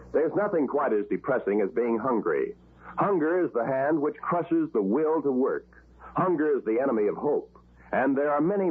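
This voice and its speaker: A man's voice: mid-range voice, slightly rough. A man reading a scripted announcement earnestly and dramatically in 1940s radio announcer style. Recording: tinny old radio recording.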